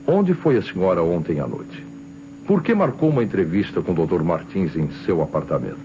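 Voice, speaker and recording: Deep-voiced Brazilian police inspector, old noisy radio. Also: male voice, very animated, brisk. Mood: stern, accusing. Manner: insistent, pointed questioning. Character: stern, suspicious male police inspector interrogating suspects. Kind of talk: old Brazilian radio detective drama acting. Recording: muffled old radio recording, loud noise.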